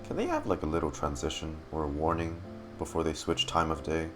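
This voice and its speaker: A man doing casual, expressive live game commentary, informally muttering to himself with a creaky voice. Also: very deep voice, a little fast. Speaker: streamer reacting to a game. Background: game music.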